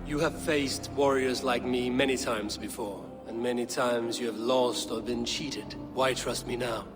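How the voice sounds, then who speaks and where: An actor playing a bold pagan Viking warrior with a sardonic, direct manner in a video game. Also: male voice, mid-range voice, steady tone, a little slow, over music, lightly acted. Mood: confrontational. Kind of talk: dramatic video game dialogue.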